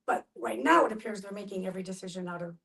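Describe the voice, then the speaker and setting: A woman explaining her view in a group discussion, measured and reiterating her points. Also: medium pitch, vocal fry, a bit bright. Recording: voice picked up from a distance.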